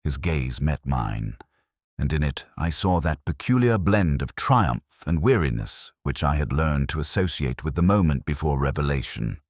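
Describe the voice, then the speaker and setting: A man's voice: a bass voice, expressive, moderate pace, no echo, clean studio sound. A man narrating a classic detective story from a script in a dramatic, formal storytelling manner. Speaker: audiobook narrator, reading as a first-person storyteller.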